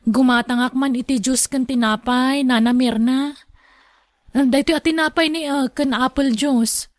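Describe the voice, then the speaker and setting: A woman's voice: high-pitched, steady tone, moderate pace, lightly acted. A woman acting a worried, long-suffering wife in a radio drama, with an Ilocano accent. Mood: sad, resigned. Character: worried, long-suffering wife pleading with her straying husband.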